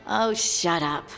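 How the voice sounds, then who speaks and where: A woman playing a gentle, thoughtful adventurer in a fantasy game, speaking in a hesitant, reflective and friendly way. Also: on the low side, gravelly, heavily acted. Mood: amused. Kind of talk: fantasy video game acting. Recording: long reverb, faint music.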